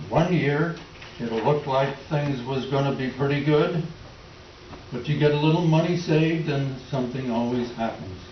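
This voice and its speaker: A man's voice: medium pitch, normal speed, close to an everyday voice. A man playing a worn-out, despairing Depression-era tenant farmer in a plaintive staged reading with rural folk phrasing. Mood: weary, despairing. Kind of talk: readers theater dramatic monologue. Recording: distant mic, echoey room, faint noise, dull.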